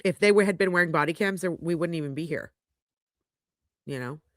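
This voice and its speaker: A woman's voice: fairly low pitch, brisk, dry recording, studio quality. A middle-aged woman hosting a livestream, congested and sniffly, chatting frankly as she reads viewer comments aloud. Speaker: podcast host reading live chat. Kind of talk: casual livestream chat. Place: home podcast studio. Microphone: studio microphone.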